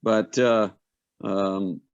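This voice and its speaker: A man's voice: lowish voice, fairly even intonation, very slow, clear, resonant tone, no room sound. A man speaking as a guest on an online panel, earnest and reflective, with frequent ums. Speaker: guest panelist, pickleball player. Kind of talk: casual personal testimony. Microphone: video call. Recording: dull dry video-call audio.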